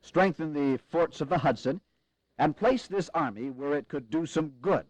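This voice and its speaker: A man playing a pragmatic, weary army commander in a historical audio drama, speaking in a measured, grave and formal way with wry resolve. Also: a little high, expressive, a little mellow, dry recording, low-quality recording.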